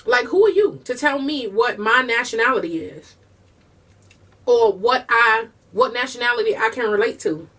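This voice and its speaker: Fast-talking woman with a Southern Puerto Rican lilt. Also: on the high side, animated, sharp tone, recorded from a distance. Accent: Puerto Rican-tinged Southern US. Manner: breaks into bursts of singing. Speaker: vlogger and mother. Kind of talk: casual mukbang chat while eating.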